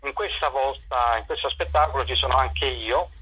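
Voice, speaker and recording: A man's voice: medium pitch, normal speed, slightly rough. A middle-aged man explains things in an interview, hesitating and searching for words. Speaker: theatre director and performer. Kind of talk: informal spoken interview answers. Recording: dull lo-fi telephone-radio sound.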